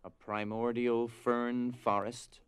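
A man reading dramatized storybook narration in a measured, solemn way. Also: mid-range voice, little pitch movement, clean tone, no room sound. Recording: music behind.

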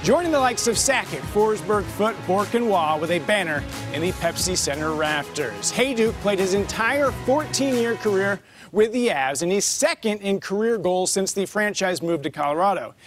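A man reading the news as anchor in a TV studio with crisp, measured delivery. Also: sits high, lively intonation, music in the background.

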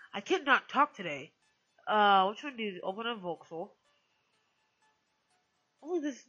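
A woman hosting a casual software tutorial in a hesitant, rambling way, often correcting herself. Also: medium pitch, lo-fi sound. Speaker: tutorial host.

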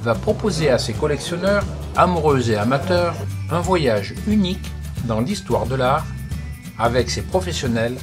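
A man's voice: fairly high pitch, expressive, normal speed. A man reading a scripted news voice-over in a lilting announcer manner. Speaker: reporter narrator. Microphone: studio voice-over. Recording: music bed under voice.

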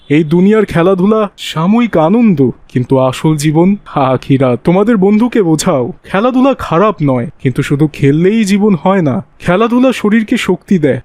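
A man voicing a kindly, pious madrasa teacher in a cartoon, gently and earnestly preaching to children. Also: on the high side, no room sound, lightly acted. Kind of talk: animated Islamic cartoon acting.

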